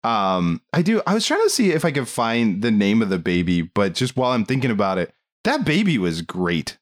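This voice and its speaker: A man talking casually as a podcast host, rambling along in an animated, amused way. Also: lowish voice, moderate pace. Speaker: film discussion podcast host. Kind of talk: casual movie review chat.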